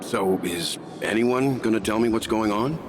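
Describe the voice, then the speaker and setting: A man's voice: on the low side, normal speed, noisy background, natural voice. A man voicing a reserved, level-headed young companion in video game story dialogue. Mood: reserved, probing. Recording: music behind.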